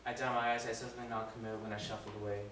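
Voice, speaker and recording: A man playing a repentant crucified robber in a live dramatic monologue, impassioned and moving between hushed confession and raised, forceful speech. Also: mid-range voice, flat delivery, very fast, lightly acted. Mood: regretful, agitated. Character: repentant condemned robber crucified beside Jesus, rough-living, remorseful. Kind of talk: live dramatic monologue, first-person storytelling. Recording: very echoey room, distant mic, faint noise.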